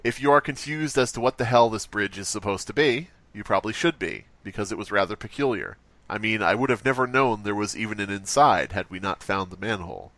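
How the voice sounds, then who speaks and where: A man's voice: fairly low pitch, a little fast, few pauses, a bit bright, no echo. A man co-hosting a horror story podcast, chatting casually and laughing as he reads stories aloud. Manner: laughs a lot, trails off mid-sentence. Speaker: podcast co-host. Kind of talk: casual chat and story reading.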